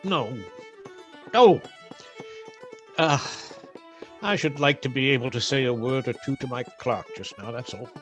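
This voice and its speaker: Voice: medium pitch, unhurried, pauses often, faint music behind, natural voice. A performer playing a tormented elderly male miser in a theatrical radio drama. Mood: regretful.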